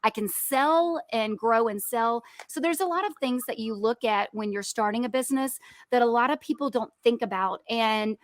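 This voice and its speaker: A woman's voice: fairly high pitch, moderate pace. A woman speaking as a podcast guest, giving encouraging, conversational business advice with emphatic repetition.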